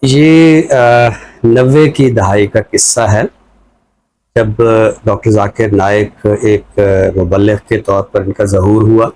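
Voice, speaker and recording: A man with a Pakistani accent giving reflective, conversational commentary as a scholar, explaining things in a measured way. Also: fairly low pitch, fairly even intonation, a little mellow, low-quality recording. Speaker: scholar commentator on a talk show.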